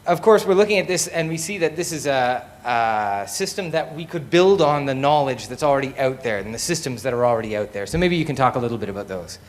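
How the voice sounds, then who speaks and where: A man leading a live project pitch as main presenter, speaking in a conversational way. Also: animated, fast, few pauses. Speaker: lead presenter.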